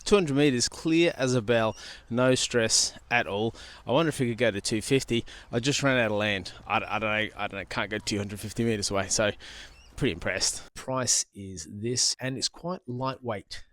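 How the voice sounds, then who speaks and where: A man's voice: lowish voice, normal speed, fairly bright tone. A man talking casually to camera as a product reviewer, informal and repeating himself, speaking into a handheld wireless microphone. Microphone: handheld wireless interview microphone.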